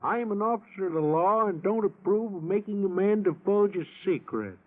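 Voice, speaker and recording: A pompous, excitable policeman, high in pitch and swooping widely, in a comic old radio ad. The sound is thin and tinny. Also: fairly quick. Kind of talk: old radio comedy advertisement.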